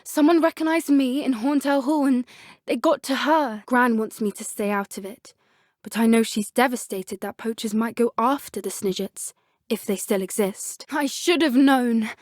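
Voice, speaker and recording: A woman voicing an earnest, caring teenage witch with a British accent in a video game, speaking in an articulate, gently expressive way. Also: on the high side, normal speed, no room sound, clean studio sound, close to an everyday voice. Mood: upset, worried. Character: earnest, caring teenage witch schoolgirl who loves creatures. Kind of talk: video game character dialogue.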